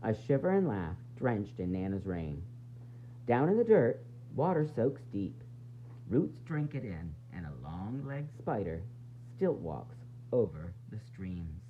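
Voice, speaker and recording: A man reading a children's picture book aloud in an expressive, dramatic, sing-song way, with varied emphasis. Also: on the low side, slow. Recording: dull lo-fi sound, faint noise and hum.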